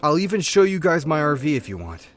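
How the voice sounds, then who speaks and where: A man's voice: a little high, very animated, fast, soft background music. A man voicing an easygoing young male rat drifter who talks in a laid-back, friendly, chatty way in a fan comic dub. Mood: persuasive.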